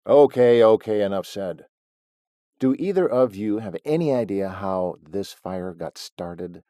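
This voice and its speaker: A stern middle-aged ranch boss, terse and impatient, voiced by a man narrating an audiobook. He sounds low, close, and dry. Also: lively intonation, unhurried, dark tone, no echo. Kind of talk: audiobook character voice.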